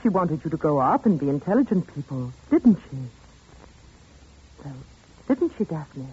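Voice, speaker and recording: Muffled vintage radio: genteel, anxious family friend, mellow and expressive. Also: female voice, low voice, moderate pace, slightly rough, heavily acted. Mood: gentle coaxing. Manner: soothing, hesitant. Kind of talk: 1940s radio suspense acting. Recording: muffled 1940s radio recording.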